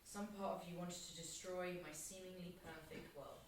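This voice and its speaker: A woman's voice: fairly low pitch, fairly even intonation, normal speed, lightly acted. A woman playing a bitter, grieving mother in a naturalistic drama, speaking in a pointed, cutting, sardonic way to a former friend. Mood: coldly accusing. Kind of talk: naturalistic two-hander drama dialogue. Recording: distant, very echoey room with faint noise.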